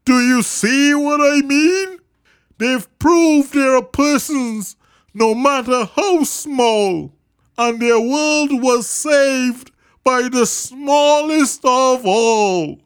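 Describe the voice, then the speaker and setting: A woman voicing an earnest, kindhearted male elephant in a storybook reading, in a squeaky high put-on voice. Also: extremely slow, clear, resonant tone. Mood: gently proud. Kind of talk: storybook read-aloud.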